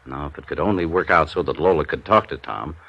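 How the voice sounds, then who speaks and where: An actor playing a dignified, anxious senior businessman in 1950s radio drama, speaking in a measured, persuasive way. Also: rumbling low, gravelly, heavily acted. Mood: earnest, persuasive. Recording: muffled old radio broadcast recording.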